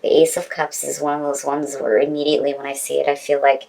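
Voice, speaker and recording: A woman's voice: low-pitched, completely flat delivery, fast, creaky, mellow, a little hiss or hum, poor audio. A woman speaking as a tarot reader in a casual, conversational style, with an informal, emphatic manner.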